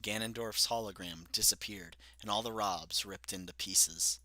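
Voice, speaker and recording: A man's voice: lowish voice, moderate pace, sharp tone, slight background noise. A young man reading aloud from a story he wrote as a child, laughing at it and commenting as he goes. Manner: self-deprecating, laughing at his old writing. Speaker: reader of his own childhood story. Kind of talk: solo read-aloud with commentary.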